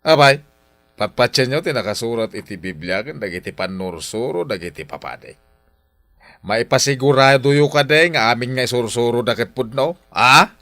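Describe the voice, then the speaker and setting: An actor playing a defiant, hard-drinking farmer husband in a Philippine radio drama, dismissive and scoffing. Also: male voice, mid-range voice, expressive, normal speed, bright, forceful tone, no room sound. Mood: mocking.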